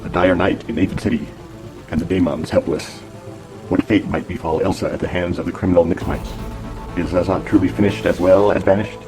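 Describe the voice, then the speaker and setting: A man reading scripted narration for a pulp adventure serial in a measured, dramatic way. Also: sits low, little pitch movement, low-quality recording. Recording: loud music behind narration.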